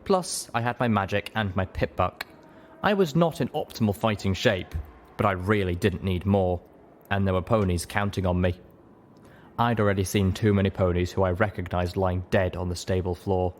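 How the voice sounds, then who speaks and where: A man's voice: sits low, normal speed, slight background noise. A man reading a story aloud as a dramatic first-person audiobook narrator. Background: music.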